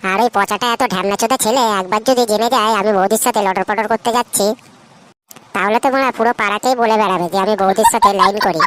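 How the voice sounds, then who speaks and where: A woman's voice: medium pitch, fast talker, natural voice. A woman voicing a cocky, foul-mouthed teenage schoolboy in a comedy cartoon, brash and full of slang. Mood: scheming. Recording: loud background noise.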